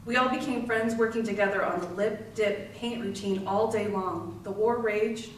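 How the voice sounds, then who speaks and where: A woman's voice: medium pitch, steady tone, moderate pace, natural voice. A woman playing a young dial painter, an immigrants' daughter, recalling shared work in a readers theater monologue. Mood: reflective. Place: library readers theater performance, distant microphone. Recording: very echoey, distant mic, faint noise.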